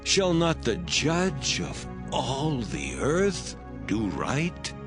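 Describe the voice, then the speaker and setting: An actor playing a humble, earnest, reverent old patriarch in a dramatized scripture reading. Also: male voice, mid-range voice, wildly swooping pitch, drawn-out words, full character voice. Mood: earnest pleading. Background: music.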